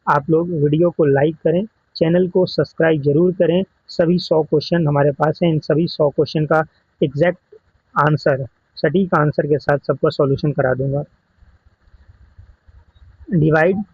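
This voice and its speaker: A man with an Indian accent and a slightly high, husky voice explains exam questions step by step as a coaching teacher. He slips English technical terms into his explanations. The recording is dry and somewhat dull. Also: dry recording. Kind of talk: exam question walkthrough lesson.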